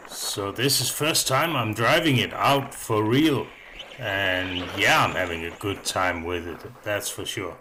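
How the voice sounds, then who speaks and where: Casting: man, deep voice, casual hobby reviewer doing voice-over, halting delivery with fillers, noticeable background noise. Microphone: voice-over microphone.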